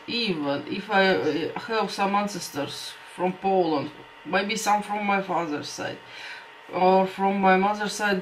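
A middle-aged woman with a Latvian accent talks slowly to her webcam in a small room at home. She thinks aloud and often restarts her sentences. A faint hum of the room sits behind her voice. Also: medium pitch, rough recording. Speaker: vlogger. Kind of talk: casual talk to camera.

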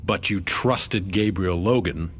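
Skeptical formal official grilling witnesses in a video game, lowish voice, pointed questions. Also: male voice, slow, a little hiss or hum. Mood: skeptical, probing. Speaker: video game supporting actor. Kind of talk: scripted game cutscene acting.

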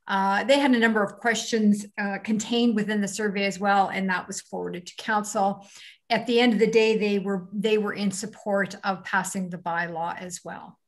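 Casting: a mid-pitched woman clerk giving a short, plain staff report. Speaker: township clerk. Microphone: video call.

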